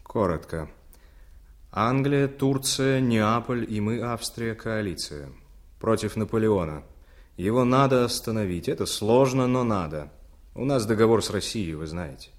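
A sardonic military envoy in a historical radio play. His lowish voice is dry and clipped, all business. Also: expressive, a little slow. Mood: businesslike. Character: businesslike, sardonic male Austrian colonel and envoy. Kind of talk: theatrical historical radio drama acting.

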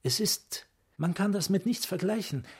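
An actor in a radio play playing a philosophical, probing psychiatrist with an eloquent, rhetorical manner. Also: male voice, high-pitched, animated, normal speed, breathy edge, full character voice. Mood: musing, intense. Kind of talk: radio drama acting, literary monologue.

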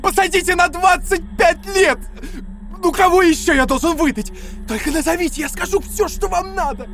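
An actor in an audio drama playing a terrified, craven male prisoner who begs desperately in drawn-out pleas. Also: very high voice, soft background music. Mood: hysterical begging. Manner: pleading, drawn-out, breaking into cries and shouts.

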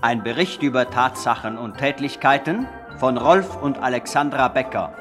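A man's voice: mid-range voice, normal speed. A man making a scripted title announcement for a radio drama. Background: music.

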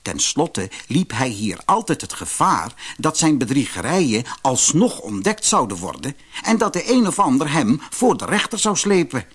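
A man with a Netherlands Dutch accent reads a scripted fairy tale as an expressive audiobook narrator. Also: mid-range voice, moderate pace, breathy edge. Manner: expressive storytelling.

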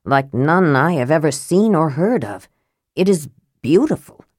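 A woman's voice: deep voice, animated, a little slow, darkish tone, no echo, clean studio sound, pitched below the performer's own voice. A woman voicing a stern, earnest male knight in an audiobook, terse and formal. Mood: awed. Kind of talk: audiobook character acting.

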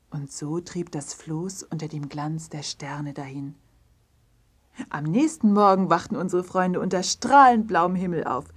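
Female storyteller, lowish pitch, husky, scripted children's tale, expressive, hushed endings. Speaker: narrator.

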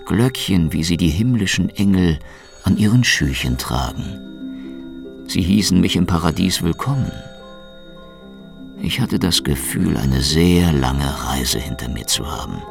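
An actor playing a cynical, wisecracking Soviet secret police investigator who narrates a hardboiled radio crime drama in a sardonic, laconic way. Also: male voice, sits low, animated, a little slow, vocal fry, heavily acted. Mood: dreamy, wry. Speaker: narrator and lead detective. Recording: music behind the voice.

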